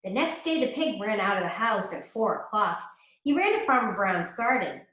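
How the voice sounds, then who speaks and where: A woman teacher reading a fairy tale aloud to children, slowly and expressively. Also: medium pitch, very animated, fairly bright tone. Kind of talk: read-aloud storytelling. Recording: echoey room.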